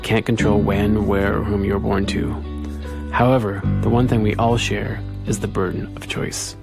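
A man's voice: lowish voice, level tone, normal speed, vocal fry, loud music behind the voice. A man hosting a radio program with scripted narration.

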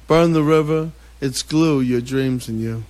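A man's voice: medium pitch, measured pace, darkish tone. A man performing spoken-word poetry with a chanting, repetitive cadence.

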